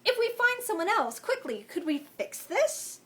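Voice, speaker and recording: A woman's voice: very high voice, sing-song, unhurried, voice pushed up, heavily acted. A woman voicing a frightened, desperate teenage girl in a storybook reading, pleading and shaky.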